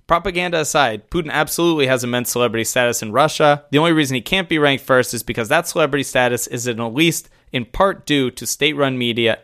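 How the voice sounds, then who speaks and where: A man's voice: mid-range voice, fairly bright tone. Rambling, laughing man on a podcast. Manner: rambling, laughs often, swears casually. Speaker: podcast co-host. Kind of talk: casual podcast chat.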